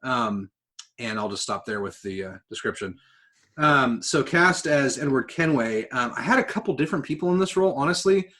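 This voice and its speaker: A man speaks in a middle-pitched, everyday voice as a podcast co-host. He is enthusiastic and conversational about pop culture, and drops casual swearing into his talk. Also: lively intonation, moderate pace, a bit bright. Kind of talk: casual chat about games and films.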